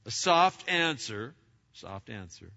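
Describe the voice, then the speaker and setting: A man's voice: medium pitch, sing-song, slow, vocal fry. Big-voiced preacher man, lo-fi. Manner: loud and emphatic, with many stammered restarts. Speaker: pastor. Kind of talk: sermon to a congregation. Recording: lo-fi recording.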